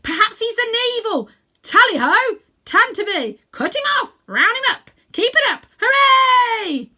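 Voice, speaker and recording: A woman voicing excited talking animals in a storybook, shouting gleefully in short bursts as they give chase. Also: very high-pitched, a little slow, pitched above the performer's own voice, exaggerated voice. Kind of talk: storybook character voices.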